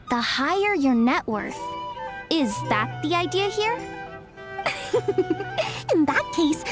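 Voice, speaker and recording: A high-pitched, smug little merchant. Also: female voice, full character voice. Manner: smug, teasing. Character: haughty, money-minded female merchant, small and cheeky. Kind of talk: English game dub acting. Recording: music behind the voice.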